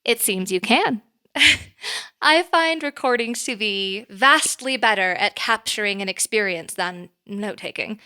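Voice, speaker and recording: A woman's voice: mid-range voice, lively intonation, a little slow, bright, forceful tone, smooth. A woman playing a poised female boss in an audio drama, speaking formally and precisely as she earnestly interviews an applicant. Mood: composed, explanatory. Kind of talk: audio drama acting, conversational.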